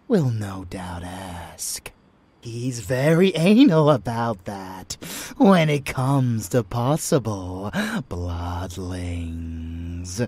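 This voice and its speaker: A raspy, slow-drawling unhinged vampire lover. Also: male voice, fairly low pitch, fairly bright tone, dry recording, heavily acted. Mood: teasing, condescending. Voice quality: raspy, breathy, often dropping into whispers. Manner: theatrical, slow, sneering, taunting. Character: unhinged rebellious male vampire, seductive, zealous, bloodthirsty lover. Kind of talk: roleplay ASMR dramatic monologue.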